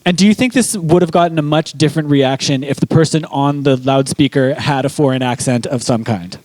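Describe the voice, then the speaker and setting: A man's voice: medium pitch, a little fast, a little mellow, a little hiss or hum, low-quality recording. A man hosting a casual comedy panel chat, wry and playful, swearing freely. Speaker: host.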